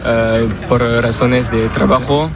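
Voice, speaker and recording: A young French man speaking Spanish as a second language, halting, with many fillers and restarts, in a street interview. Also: mid-range voice, little pitch movement, vocal fry, a loud crowd.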